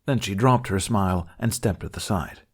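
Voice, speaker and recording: A man reading a fantasy story aloud in an expressive audiobook style. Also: sits low, moderate pace, breathy edge. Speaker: fan fiction narrator.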